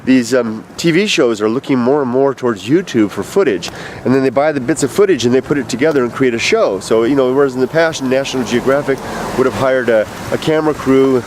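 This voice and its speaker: A man in his fifties, stuffed up with allergies, leaving a rambling, halting video message full of fillers. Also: medium pitch, darkish tone. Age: 50s. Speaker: vlogger. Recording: noisy outdoor street recording.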